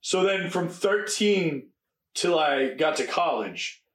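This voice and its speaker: Young guy podcast cohost, kinda high voice, lots of swearing, fast rambly stories, echoey room. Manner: swears freely, quick animated storytelling. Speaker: podcast co-host. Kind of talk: casual chat.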